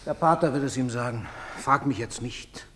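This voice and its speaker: Gruff troubled father played by a man, echoing hall. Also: medium pitch, normal speed, fairly bright tone, close to an everyday voice. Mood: gruff, heavy. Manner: curt, agitated, brooding. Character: guilt-ridden, gruff, troubled husband and father. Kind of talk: theatrical stage-play acting. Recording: reverberant hall, faint noise.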